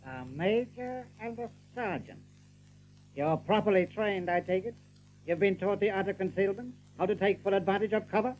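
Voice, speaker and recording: An actor playing a rabbit general in an audiobook drama, a commanding ruler speaking in a measured, formal, authoritative way. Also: male voice, high voice, lo-fi sound, a little hiss or hum, lightly acted. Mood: probing, stern.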